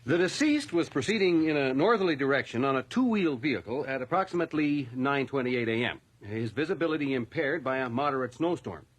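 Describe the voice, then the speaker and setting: A man's voice: medium pitch, expressive, a little fast, few pauses, natural voice. A man acting in a radio drama as an earnest, deferential police constable with an official manner. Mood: stiffly official.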